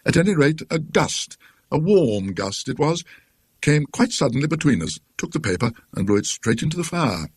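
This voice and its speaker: Man acting earnest, animated English gentleman, husky. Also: on the high side, moderate pace, dry recording, pitched above the performer's own voice. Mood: dry, reflective. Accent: British. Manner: dry, donnish, emphatic. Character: earnest, suspicious bereaved English gentleman recounting events.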